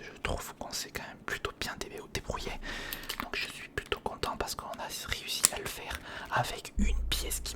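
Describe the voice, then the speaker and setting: Whispering man doing ASMR. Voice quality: whispered, breathy. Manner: informal, talks to the viewer as a friend, murmuring step by step. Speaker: ASMR video creator, host. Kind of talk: ASMR, casual running commentary while building a model. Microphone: close recorder microphone.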